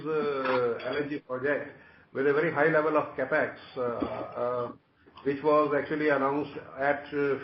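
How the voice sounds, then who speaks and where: Echoey, higher-voiced Indian man, halting. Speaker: company executive. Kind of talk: answering analyst questions on an earnings call. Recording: muffled, echoey conference call with noise.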